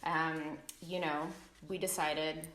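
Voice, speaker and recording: Lowish-voiced woman host, playful chatty tone, roomy echo. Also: little pitch movement, a bit bright. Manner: chatty, playful, quick asides. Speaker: brand founder and host. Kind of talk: casual interview chat. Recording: echoey room.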